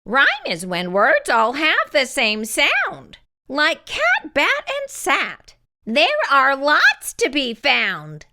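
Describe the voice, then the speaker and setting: A woman voicing an instructive, playful schoolteacher rock in a children's picture book, deliberate and explanatory. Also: high voice, wildly swooping pitch, pushed and bright, voice pushed up, full character voice.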